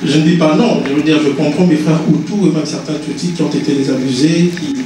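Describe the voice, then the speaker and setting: A man's voice: on the high side, fairly even intonation, quick pace, mellow, slight background noise. A Congolese-born man giving an earnest public talk as an invited author, often restarting his words. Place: conference hall. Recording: echoey hall, distant mic.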